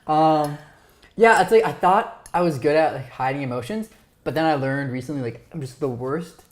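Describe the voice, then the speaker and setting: Low-voiced fast-talking woman host. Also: darkish tone. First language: Romanian. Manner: fast-talking, stumbles over words. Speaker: podcast host. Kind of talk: casual chat. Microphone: lapel.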